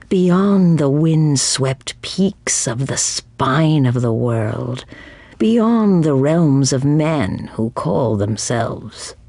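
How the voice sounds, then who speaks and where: Elderly female seer, fantasy game, husky aged voice, solemn, portentous, weary, very dry close sound. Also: rumbling low, a little mellow, no room sound, exaggerated voice. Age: older. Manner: slow, grave, portentous. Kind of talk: dramatic fantasy game voice acting.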